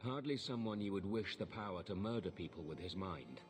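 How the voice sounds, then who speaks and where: An actor playing a measured, formal templar knight in dramatic game dialogue. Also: male voice, low-pitched, lively intonation, big-room sound, soft background music. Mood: grave. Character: stern-minded male templar knight in armour, measured, formal.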